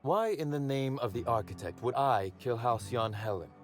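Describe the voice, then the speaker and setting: An actor playing a suave, amused and menacing crime boss in a video game, with a wry, theatrical, deliberate manner. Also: male voice, medium pitch, expressive, faint music behind, close to an everyday voice. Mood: gleefully menacing.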